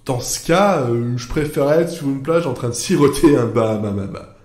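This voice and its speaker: A man's voice: mid-range voice, darkish tone, husky, dry recording. A man playing a bewildered, sarcastic storyteller in a comic audio fiction, rambling in the first person, interrupting himself and addressing the listener. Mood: resigned, frustrated. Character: bewildered, sarcastic male storyteller stranded inside his own wild ideas. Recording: music bed behind the voice. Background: music.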